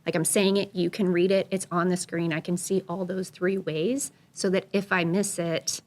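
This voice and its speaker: A woman's voice: on the low side, flat delivery, moderate pace, a little mellow. A woman and teacher speaking casually in a professional discussion, chatty and often checking in with "right?". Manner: chatty, tags lines with "right?". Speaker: accessibility guest speaker.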